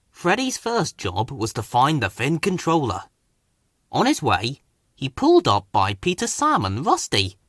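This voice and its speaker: A man's voice: on the high side, a bit bright, dry recording, studio quality. A man reading a scripted children's story as its narrator.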